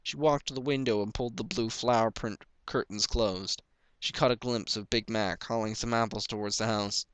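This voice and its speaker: Male story reader, lowish pitch, smooth clear tone, dry close sound, scripted narration. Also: steady tone, moderate pace, a bit bright, no echo.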